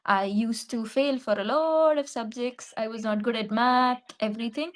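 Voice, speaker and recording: Woman, indian english accent, from kerala, young adult, english tutor, measured and reflective, fairly high voice. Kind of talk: conversational practice interview.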